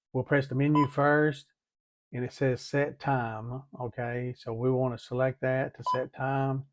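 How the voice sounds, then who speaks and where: A man's voice: mid-range voice, dry recording, highs cut off. A man hosting a DIY tutorial, narrating product setup step by step in a plain, methodical, instructional way. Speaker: DIY video host.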